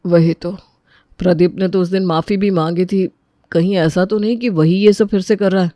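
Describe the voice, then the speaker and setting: A woman's voice: fairly low pitch, steady tone, moderate pace, mellow, no echo, close to an everyday voice. A woman reads as a caring young man in a family drama. The voice is earnest and soothing with a worried edge, in a dry recording. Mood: concerned. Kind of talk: Hindi family audio drama acting.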